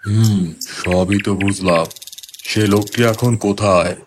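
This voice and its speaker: A man's voice: on the low side, steady tone, slow pace. A man voicing a stern, commanding tantric exorcist in a village horror cartoon. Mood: grave. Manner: authoritative, commanding. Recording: clearly noisy background.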